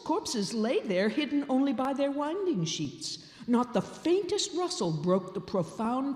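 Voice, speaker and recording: A woman giving a slow, expressive dramatic reading of a classic story at a live show. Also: fairly high pitch. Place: large town hall stage, live show. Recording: roomy hall reverb.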